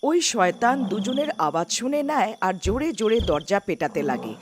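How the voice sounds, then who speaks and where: A woman's voice: mid-range voice, sing-song, moderate pace, slight background noise. A woman narrating a scripted cartoon folk tale as a storyteller.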